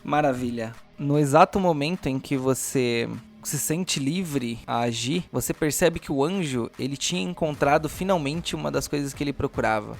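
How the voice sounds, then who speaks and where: A Brazilian man narrating a tabletop game as game master, in a deliberate, theatrical storyteller's manner. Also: mid-range voice, gravelly, soft background music.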